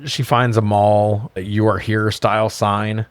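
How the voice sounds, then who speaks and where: Male podcast co-host, lowish voice, wry and sweary, casually recapping a film in a dry-sounding space. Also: flat delivery, slow, clean tone, dry recording. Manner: profane, wry, slangy.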